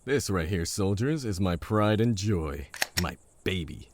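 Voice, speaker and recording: Man playing deep, cocky war veteran Clay. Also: expressive, measured pace, darkish tone, exaggerated voice. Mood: proud, boastful. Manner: blunt, boastful. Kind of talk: audio drama dialogue.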